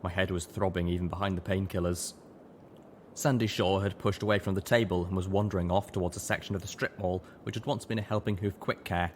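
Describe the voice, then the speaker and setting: A man narrating a first-person story in an expressive audiobook style. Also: deep voice, brisk, slight background noise. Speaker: audiobook reader.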